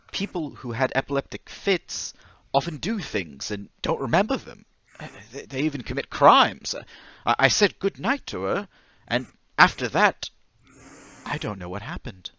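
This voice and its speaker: A man's voice: medium pitch, sing-song, normal speed, bright, forceful tone, dry recording. An actor playing a timid, troubled stocking salesman in an old-time radio drama, hesitant, stammering and deferentially polite. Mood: anxious, confessional.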